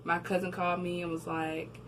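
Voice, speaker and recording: Lively low-voiced young woman, distant mic. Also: brisk. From New Jersey. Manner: animated storytelling, laughs often, acts out quotes. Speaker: guest, record label marketing admin. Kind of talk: casual interview. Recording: distant mic with background noise.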